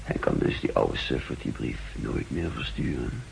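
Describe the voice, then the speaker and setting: An actor playing a secretive, calculating male intelligence official in a halting, confidential 1960s radio drama style. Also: rumbling low, big pitch swings, creaky, slight background noise, full character voice, low-quality recording. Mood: brooding, muttering.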